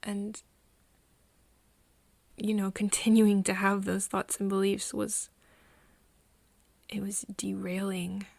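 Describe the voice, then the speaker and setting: Actress as wistful confiding woman, ASMR roleplay, hushed and whispery, halting, even pitch, very dry close recording. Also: no room sound, heavily acted. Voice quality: soft, breathy, often whispery. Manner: hesitant, halting, pausing often. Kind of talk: intimate ASMR roleplay, scripted confession.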